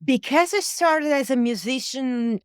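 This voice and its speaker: A woman's voice: sits high, lively intonation, a little slow, clean tone, dry recording, studio quality. A woman guest who is a musician and sound engineer, talking casually in an interview with many fillers and small laughs. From Europe. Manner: chatty, many fillers, laughs between thoughts. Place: home recording studio on a boat.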